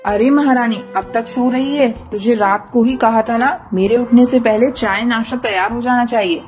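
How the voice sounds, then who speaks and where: Woman voicing a high-pitched, scolding, haughty crow wife. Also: normal speed, darkish tone, faint music behind, lightly acted. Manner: scolding, bossy, sarcastic. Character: haughty, bitter, hard-hearted female crow, rich wife. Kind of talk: Hindi animated moral story dialogue.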